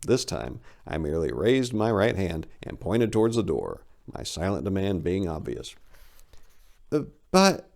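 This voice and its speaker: A man with a lowish voice narrates a comic short story in the first person. His delivery is theatrical, with pitch that swings widely from phrase to phrase. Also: sing-song, normal speed, creaky, few pauses, a little mellow. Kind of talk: animated dramatic reading of a first-person story.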